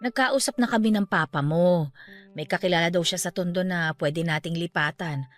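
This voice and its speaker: Low-voiced grieving middle-aged aunt played by an actress. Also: female voice, moderate pace, lightly acted. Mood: resigned, practical. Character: grieving aunt who just lost her parents, caring, steadfast. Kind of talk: Tagalog radio drama acting, naturalistic dialogue. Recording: music bed under the voice. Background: background music.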